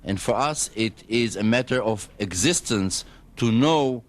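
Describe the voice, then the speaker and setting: A man with an Israeli accent speaking as an official in a radio interview, choosing his words carefully and haltingly. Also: lowish voice, animated. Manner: measured, careful, hesitant diplomatic phrasing. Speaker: government spokesman, radio guest.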